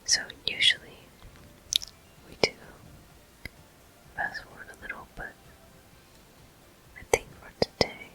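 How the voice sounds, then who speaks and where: A person whispering soft, breathy, unhurried commentary in short phrases while playing a video game for ASMR viewers. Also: poor audio. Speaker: video game player and host.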